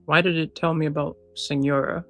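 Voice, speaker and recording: A woman streamer with a low voice, talking casually through a game playthrough. She muses aloud in short, offhand reactions while music plays faintly underneath. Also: steady tone, fairly quick. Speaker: gaming streamer.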